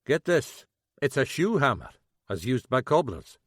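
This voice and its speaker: A man's voice: mid-range voice, big pitch swings, a little slow, dry recording, studio quality. A man voicing an eager young male sergeant.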